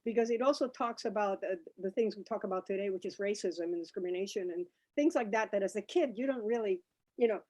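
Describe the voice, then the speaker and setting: A woman's voice: medium pitch, fast talker, mellow. A woman in her sixties from Cuba, a native Spanish speaker, telling personal stories as a panelist in a conversational way. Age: 60s. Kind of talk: reminiscing panel talk.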